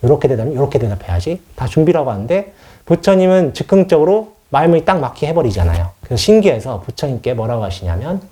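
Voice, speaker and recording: A man's voice: mid-range voice, sing-song, fast talker, dark tone. A man who is a Buddhist monk giving an informal dharma lecture on scripture, explaining as he goes and often answering his own questions. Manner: explanatory, poses questions and answers them himself.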